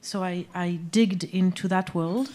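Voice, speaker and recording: A woman, a musician guest talking about her music in a live on-stage interview, pausing often to search for words. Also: fairly low pitch, fairly even intonation, mellow. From Algeria, raised in France. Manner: hesitant, searching for words, many fillers. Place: small music venue stage.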